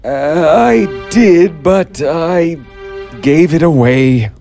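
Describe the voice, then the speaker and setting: A man's voice: a little high, very slow, dark tone, soft background music, pitched above the performer's own voice. A man voicing a kind, timid, troubled schoolteacher in a storybook tale, hesitant and earnest. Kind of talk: animated storybook character acting.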